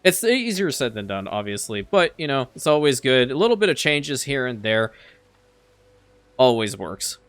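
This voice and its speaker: A man whose first language is Farsi talks through a city-building game in a relaxed, chatty way. His voice is bright and forward, and it often stumbles into a repeated word before he carries on. The sound is close and dry, with no room echo. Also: mid-range voice, moderate pace, clear, resonant tone. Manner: chatty, repeats words as he restarts sentences. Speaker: let's play creator. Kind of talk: casual gameplay commentary.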